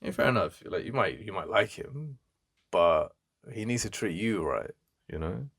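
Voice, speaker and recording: Deep gravelly jealous English best friend, acted by a man. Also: animated, a bit bright, full character voice. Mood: apologetic, insistent. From England. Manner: halting, conversational, emphatic repetition. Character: jealous, protective British male best friend. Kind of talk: intimate ASMR roleplay.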